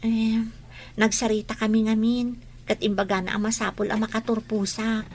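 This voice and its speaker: Woman as eager, worried teenage girl student, high voice, radio play, slight background noise. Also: little pitch movement, normal speed, a little mellow, natural voice. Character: earnest young schoolgirl granddaughter, eager but worried. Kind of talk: radio drama acting.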